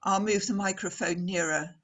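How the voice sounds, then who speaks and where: An actress playing a radio reporter in a radio play, describing the scene formally and dramatically, as if live on air. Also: female voice, on the low side, steady tone, normal speed, very noisy, lightly acted. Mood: urgent, observant. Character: woman radio reporter, breathless and awestruck at a scene. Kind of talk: radio play acting as live news commentary.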